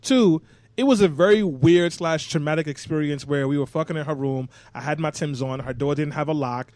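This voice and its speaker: Fast-talking candid young man. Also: a little high, darkish tone, no room sound. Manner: candid, storytelling, swears freely. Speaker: podcast co-host. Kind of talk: casual chat.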